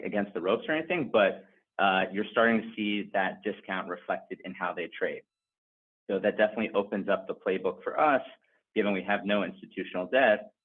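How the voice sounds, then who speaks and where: A male company executive giving unscripted answers on an earnings conference call. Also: deep voice. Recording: narrow, phone-like conference call line.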